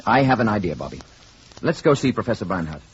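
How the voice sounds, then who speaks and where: An actor playing a calm, learned stranger from space posing as an ordinary man, speaking politely and with quiet assurance in 1950s radio drama style. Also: lowish voice, fast. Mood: calm, curious. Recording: dull, noisy 1950s radio recording.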